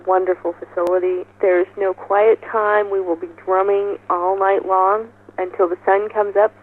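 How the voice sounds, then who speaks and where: A woman talking conversationally over the phone as an interview guest promoting an event. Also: mid-range voice. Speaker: festival organizer, interview guest. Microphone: telephone. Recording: muffled, band-limited phone line.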